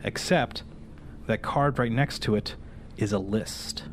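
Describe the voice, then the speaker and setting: A man working as game master, narrating a fantasy horror tabletop game in a halting, explanatory way. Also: lowish voice, slight background noise.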